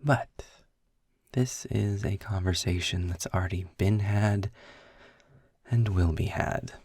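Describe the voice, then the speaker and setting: A man performs a proud, sarcastic demon guiding a pupil in an intimate audio roleplay. His voice is very deep and husky, with a gravelly creak. He phrases things deliberately, with dry, sardonic asides spoken straight to the listener. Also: steady tone, darkish tone, no room sound, full character voice. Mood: earnest, resentful. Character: sarcastic, snarky adult male demon mentor, worldly and proud.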